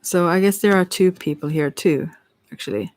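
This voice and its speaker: A non-native English speaker giving a casual, unscripted tarot reading in a hesitant, halting way, searching for words. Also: medium pitch, a little mellow, no room sound. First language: not English. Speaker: tarot reader.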